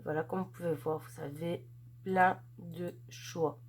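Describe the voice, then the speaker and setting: A woman talks through an unboxing in a loose, chatty way. She is stuffed up from a head cold. She gushes over what she shows and fills her pauses with fillers. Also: fairly low pitch, steady tone, a little hiss or hum. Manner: chatty, gushing, filler-heavy. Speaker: crafts channel host. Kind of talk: casual unboxing chat.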